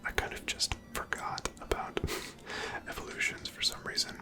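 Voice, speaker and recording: A person whispering softly and breathily, giving gentle, casual ASMR commentary while playing a video game. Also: moderate pace. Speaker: host of a gameplay series.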